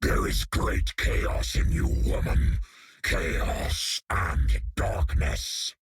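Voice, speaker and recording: An actor playing a menacing alien symbiote that hungers for power and calls itself we, in a video game. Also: male voice, drawn-out words, no room sound. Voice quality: gravelly, growling. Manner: speaks of itself as we.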